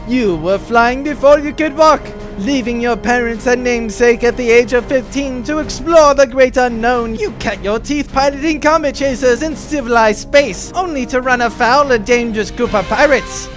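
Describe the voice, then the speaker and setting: A man playing a bombastic, sarcastic villain baron in a comic sci-fi audio drama, theatrical and mock-sweet as he gloats over captives. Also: very high voice.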